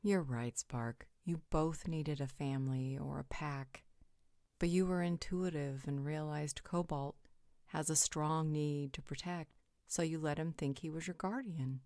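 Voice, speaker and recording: A woman plays a sassy yet caring female pack member in an audio roleplay. Her voice sits low, and the sound is close and dry. She moves between teasing and reassurance. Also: measured pace, warm tone, no room sound. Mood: amused, knowing. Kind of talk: roleplay audio drama acting.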